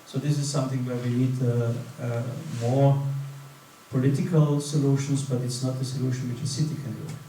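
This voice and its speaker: A man's voice: medium pitch, level tone, a little fast, mellow. A man, answering as a panelist with many restarts and fillers. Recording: echoey hall, distant mic, faint noise.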